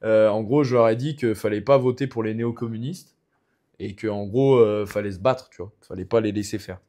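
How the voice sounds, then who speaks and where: A man's voice: on the low side, a little fast, mellow. A young man from France, an MMA fighter as podcast guest, talking in a rambling way full of restarts and fillers. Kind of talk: casual podcast conversation.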